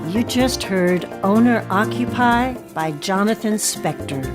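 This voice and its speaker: A woman hosting an arts show, putting polished questions to guests in an articulate, deliberate way. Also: mid-range voice, over loud music. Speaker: host, interviewer.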